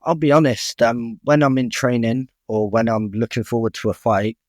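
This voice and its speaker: A man's voice: medium pitch, steady tone, normal speed, dry recording, studio quality. A young man speaking as a guest boxer in a podcast interview, talking openly and frankly, often starting with an honest aside. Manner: open, frank, chatty, restarts with "I'll be honest".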